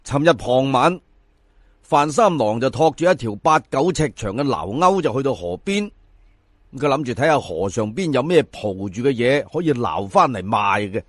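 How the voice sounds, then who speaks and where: A man's voice: mid-range voice, sing-song, moderate pace, dry recording, studio quality. A man with a Cantonese accent narrating a folk tale in a theatrical, colloquial way, speaking straight to his listeners. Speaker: storyteller.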